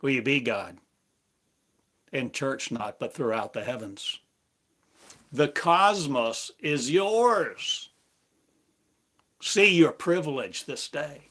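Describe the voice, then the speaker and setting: A man preaching a prophetic sermon, speaking slowly and solemnly in the voice of God, with long pauses between phrases. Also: mid-range voice, expressive, pushed and bright.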